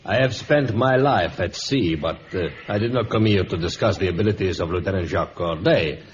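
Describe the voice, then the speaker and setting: An actor playing a scheming, arrogant colonial army lieutenant with a French accent, in an old radio drama style with haughty, insinuating delivery. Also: male voice, low voice, brisk, close to an everyday voice. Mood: smug, official. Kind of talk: 1950s radio drama acting. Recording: dull, noisy vintage radio recording.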